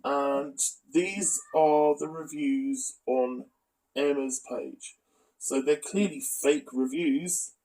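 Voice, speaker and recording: A man's voice: fairly high pitch, takes it slowly, mellow, rough recording. A man giving a critical, matter-of-fact spoken commentary, presenting evidence as in an online exposé.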